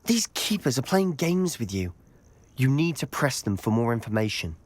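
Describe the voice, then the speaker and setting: A man's voice: mid-range voice, big pitch swings, normal speed. A man playing an impatient, hot-headed teenage wizard student in a video game, intense and cutting. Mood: frustrated, distrustful. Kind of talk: video game cutscene acting.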